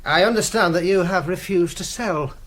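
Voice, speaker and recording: Stuffy pompous schoolmaster, stiffly formal, prim, a bit of noise behind. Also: male voice, high-pitched, moderate pace, fairly bright tone. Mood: formal, insistent. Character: prim, resentful village schoolmaster. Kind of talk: radio drama acting.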